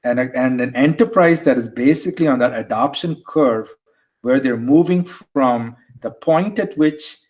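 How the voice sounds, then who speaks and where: A man's voice: mid-range voice, darkish tone. A man with an Indian accent, a guest on an interview podcast, explaining things conversationally and often repeating words as he restarts. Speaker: guest, tech executive.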